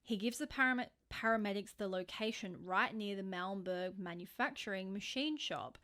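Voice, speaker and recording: A woman with an Australian accent and a mid-pitched voice co-hosts a true crime podcast. She narrates like someone telling friends a story, informal and happy to swear. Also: moderate pace, pushed and bright.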